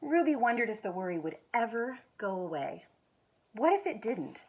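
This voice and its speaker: An American woman with a husky voice reads a children's picture book aloud expressively, stretching words for emphasis. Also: a little high, a little hiss or hum. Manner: expressive, with drawn-out emphasis and quiet asides to show pictures. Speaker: executive director of a schools foundation, guest reader.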